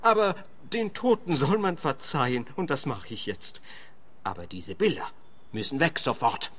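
An actor playing a petty, self-important landlord who grumbles emphatically in a radio play. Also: male voice, mid-range voice, sing-song, normal speed, a bit bright. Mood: indignant. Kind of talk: German-language radio play acting.

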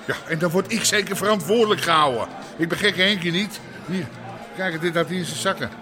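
A man acts out a domineering middle-aged club owner from the underworld in a radio play. His voice is notably high for a man. He orders people about and swears freely over prominent music. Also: fairly quick, lightly acted. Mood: irritated. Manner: gruff, bossy, swearing. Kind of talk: naturalistic radio drama acting.

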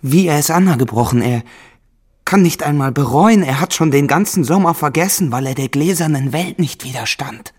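An actor playing a poor, jealous and possessive farmhand in a German radio drama, with a brooding, insistent and menacing manner and a gravelly, husky voice. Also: male voice, fairly high pitch, lively intonation, moderate pace, darkish tone. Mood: agitated.

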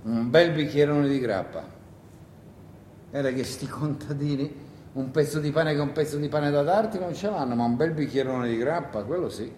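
An actor as a weary, rambling partisan in an echoey room. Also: male voice, a little high, normal speed, darkish tone, natural voice. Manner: rambling, muttering, self-interrupting, exclaiming. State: tired. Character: weary, hungry male wartime partisan talking to himself. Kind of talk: theatrical radio monologue. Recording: roomy echo with faint noise.

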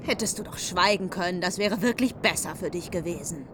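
A woman's voice: fairly low pitch, a little fast, a bit bright, a little hiss or hum, close to an everyday voice. A woman playing a proud, challenging royal wife in a radio play, speaking formally. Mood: defiant, threatening.

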